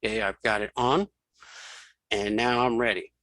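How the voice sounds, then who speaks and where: A man giving a casual, step-by-step software tutorial, thinking aloud and talking through each keypress informally. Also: lowish voice, fairly even intonation, normal speed, no echo. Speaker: screen reader tutorial instructor.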